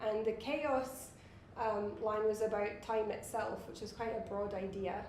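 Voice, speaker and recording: Scottish woman designer, even monotone delivery, echoey room with faint hum of a crowd. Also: medium pitch, measured pace. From Scotland. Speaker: watch designer, guest. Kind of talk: casual interview answers.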